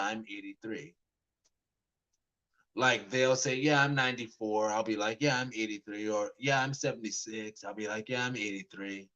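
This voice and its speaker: Slow, rambling man just turned forty. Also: mid-range voice, fairly bright tone, dull audio. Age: 40s. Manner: rambling, many restarts and stammered repeats; easygoing storytelling, acts out both sides of conversations. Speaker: vlogger. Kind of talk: casual monologue to camera.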